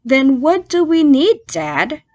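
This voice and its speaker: A woman voicing an eager, curious young fox boy in a children's story, excitable and questioning. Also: sits high, slow pace, voice pushed up, heavily acted. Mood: puzzled.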